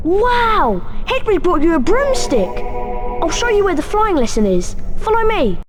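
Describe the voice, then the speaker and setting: Animated, high-pitched schoolboy pal. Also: male voice, a little slow, over loud music, exaggerated voice. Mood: eager. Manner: eager, encouraging. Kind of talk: video game cutscene acting.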